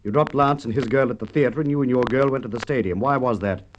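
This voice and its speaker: An actor playing a firm, methodical police inspector with an Australian accent, in Golden Age radio drama style. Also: male voice, medium pitch, fast, close to an everyday voice. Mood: methodical, probing. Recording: dull, hissy old radio recording.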